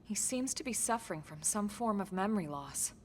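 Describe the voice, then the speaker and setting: An actress playing a calm, capable military officer in a science fiction video game, speaking in a serious, level-headed and precise way. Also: female voice, mid-range voice, fairly quick, slight background noise, close to an everyday voice. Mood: thoughtful. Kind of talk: video game cutscene acting, briefing dialogue.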